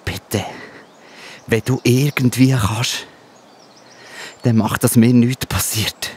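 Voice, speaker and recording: An actor playing a gentle, troubled Jesus in a children's Bible audio drama, speaking in solemn, halting phrases. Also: male voice, medium pitch, fairly even intonation, slight background noise, natural voice. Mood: fearful, pleading. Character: gentle, troubled adult Jesus, submissive to his Father. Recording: outdoor insect and bird ambience behind.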